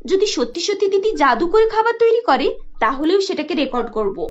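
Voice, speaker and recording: A woman's voice: very high-pitched, sing-song, moderate pace, dull audio, faint music behind. A woman voicing a suspicious, determined young sister-in-law in a family cartoon. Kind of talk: cartoon character voice.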